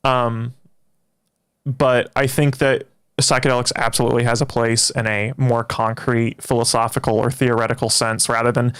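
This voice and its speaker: A young man, a native Greek speaker, chatting with his livestream audience in a rambling, halting way with many restarts. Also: mid-range voice, flat delivery, a bit bright, smooth. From Greece. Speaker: livestream host. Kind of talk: casual livestream chat.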